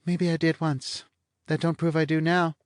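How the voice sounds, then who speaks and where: A man's voice: fairly high pitch, level tone, moderate pace, no echo, studio quality, pitched above the performer's own voice, natural voice. A man voicing a languid, teasing blonde woman with a lazy, sardonic drawl. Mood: mocking.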